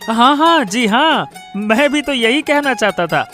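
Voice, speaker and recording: A man's voice: very high-pitched, animated, unhurried, sharp tone, faint music behind, exaggerated voice. A man voicing an educated young village man, eager, bashful and agreeable, in a cartoon story. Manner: hesitant, agreeable. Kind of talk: Hindi cartoon moral story dubbing.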